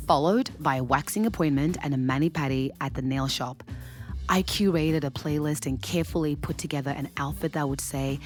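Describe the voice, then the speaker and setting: A woman in her twenties from Zimbabwe playing a candid, smitten young woman in an audio drama, confiding and playful. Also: low voice. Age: 20s. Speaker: lead actor and first-person narrator. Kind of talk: audio drama narration and dialogue.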